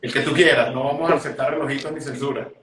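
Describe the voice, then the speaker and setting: A man's voice: mid-range voice, fairly even intonation, fast talker, over a noisy crowd. A man hosting a casual live-streamed interview, friendly and joking as he introduces and questions his guest. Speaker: host. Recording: echoey room.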